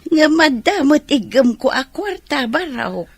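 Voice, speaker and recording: A woman plays a grateful old mother in an Ilocano radio drama. The voice is very high and creaky, with an Ilocano Filipino accent. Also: animated, unhurried, dry recording. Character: grateful, fussing elderly mother.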